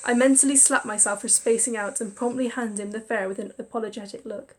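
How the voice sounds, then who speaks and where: Narrator: woman, fairly high voice, expressive and chatty for a spooky story. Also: brisk. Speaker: reader and narrator. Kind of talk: narrating a visual novel with inner monologue.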